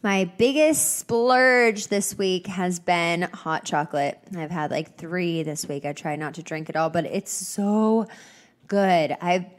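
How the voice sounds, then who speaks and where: A young woman talks casually on her own podcast, upbeat and rambling with lots of little fillers. Her voice sits a touch low and comes out slightly nasal and congested, as if she is getting over a cold. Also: takes it slowly. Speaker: podcast host. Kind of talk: casual solo podcast chat.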